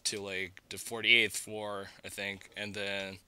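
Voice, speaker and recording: A teenage student from China, a young man chatting casually as a podcast guest, haltingly and repeating words as he goes. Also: fairly low pitch, little pitch movement, pushed and bright, clear, resonant tone. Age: teens, a student. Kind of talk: casual chat.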